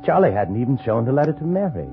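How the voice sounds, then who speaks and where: A man's voice: medium pitch, big pitch swings, quick pace. An actor playing a bitter, driven framed man in a 1950s radio thriller, speaking in a terse, brooding, intense way. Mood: stunned, grim realization. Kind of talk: 1950s radio thriller acting and first-person narration. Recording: muffled vintage radio, music behind.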